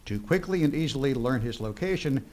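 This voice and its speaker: A man acting a friendly pitchman in a vintage radio commercial. His middle-range voice is folksy and conversational as he sells. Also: expressive, fairly quick, background noise, natural voice. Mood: folksy, upbeat. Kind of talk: scripted old-time radio advertisement.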